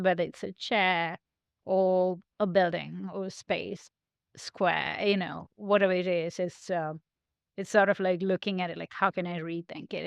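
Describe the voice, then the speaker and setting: Guest interviewee, woman from Sri Lanka, speaking reflectively in a smooth, dry-sounding voice. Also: medium pitch, no echo. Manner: thoughtful, reflective, with frequent "like" fillers. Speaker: guest, studio founder. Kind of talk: casual interview talk. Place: her design studio.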